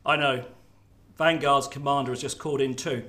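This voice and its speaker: A man playing a brisk, authoritative general in a radio drama, with clipped delivery. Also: medium pitch, fairly even intonation, bright, forceful tone, close to an everyday voice. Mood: commanding. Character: brisk, commanding male military general.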